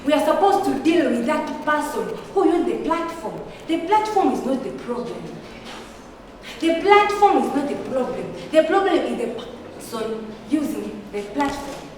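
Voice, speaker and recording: High-voiced schoolgirl debater in an echoing hall. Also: very animated, moderate pace, a little hiss or hum. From Tanzania. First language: Swahili. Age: teens. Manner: earnest, argumentative, with rhetorical questions and restarts. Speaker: student debater. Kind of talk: competitive debate speech. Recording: echoey hall, picked up from far off.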